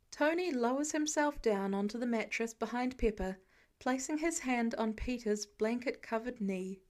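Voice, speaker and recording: A woman's voice: fairly high pitch, darkish tone. A woman narrating a scripted piece of fiction as an audiobook reader. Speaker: podfic narrator.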